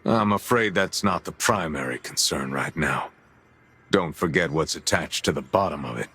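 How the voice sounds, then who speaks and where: An actor playing a grave commander giving a formal, measured mission briefing in a video game. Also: male voice, very deep voice, animated, bright, forceful tone, slightly rough, exaggerated voice. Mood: grave, resolute.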